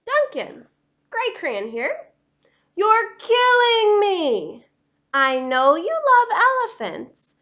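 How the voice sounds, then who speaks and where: A woman voicing an exhausted, exasperated gray crayon who complains loudly and dramatically in a storybook read-aloud. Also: sits high, lo-fi sound, full character voice.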